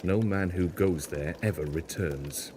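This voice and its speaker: A man's voice: sits low, unhurried, darkish tone, a little hiss or hum. A man playing a reluctant, sceptical survivor stranded on an alien planet in an audio drama, speaking in an insistent, dismissive way. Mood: grave, sceptical.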